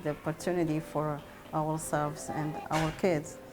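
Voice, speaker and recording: A woman's voice: low voice, level tone, unhurried. A woman who came as an adult learner answering interview questions in a plain, simple way.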